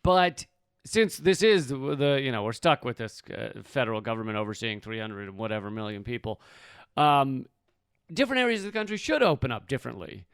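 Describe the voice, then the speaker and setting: A man's voice: medium pitch, expressive, brisk, fairly bright tone, clear, resonant tone, no echo, clean studio sound. A man hosting a political podcast in casual chat, joking, swearing freely and laughing often. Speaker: podcast host.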